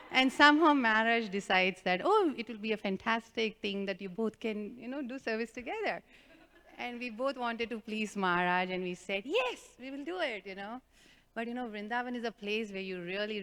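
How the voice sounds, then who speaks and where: Loud, laughing woman telling a story. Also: mid-range voice. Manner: animated, self-mocking, laughs often. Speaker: devotional speaker. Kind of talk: personal storytelling talk. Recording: reverberant hall.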